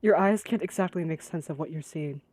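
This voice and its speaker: A woman's voice: sits low, a little fast, a little mellow, dry recording. A woman reading visual novel narration aloud during a voiced playthrough. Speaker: gaming video creator reading aloud.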